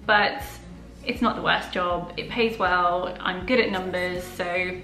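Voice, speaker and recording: A bright, clear-toned young woman whose first language is Polish chats openly through viewer questions. She talks to the camera from a living room, and the room's echo carries around her voice. Her delivery is casual and friendly. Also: lowish voice, steady tone, takes it slowly, faint music behind. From Poland. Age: young adult. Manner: chatty and open, answering viewer questions. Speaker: personal finance YouTuber. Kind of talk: casual Q&A vlog. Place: living room at home, indoors.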